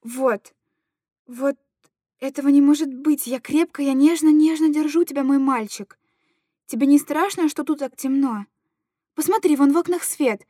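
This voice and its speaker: A woman's voice: sits high, moderate pace, mellow, no room sound, clean studio sound, natural voice. A woman playing an anguished, tender mother who coos simple, repetitive stories to her little boy in a dramatic monologue. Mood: tender, aching. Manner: cooing, repetitive, childlike storytelling to a small child. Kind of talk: dramatic literary monologue reading.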